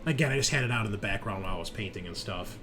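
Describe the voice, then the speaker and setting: A man's voice: on the low side, very fast, noisy background. A man hosting a game stream in casual, informal chat, swearing freely. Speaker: host and game master.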